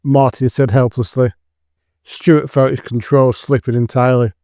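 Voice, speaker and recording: A man's voice: mid-range voice, level tone, moderate pace, mellow, dry recording, clean studio sound. A man giving scripted dramatic narration for an audio drama.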